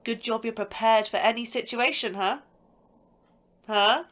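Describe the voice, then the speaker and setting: Woman doing a chatty science officer, higher voice, curious and teasing, sci-fi audio drama. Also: steady tone, fairly bright tone. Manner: chatty, curious, quick to tease.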